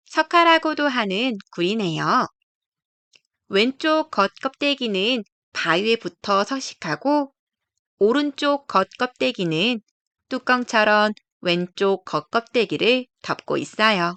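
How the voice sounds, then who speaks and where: A woman reading a children's nature picture book aloud, explaining gently to young listeners. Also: mid-range voice, animated, takes it slowly, clear, resonant tone, no echo.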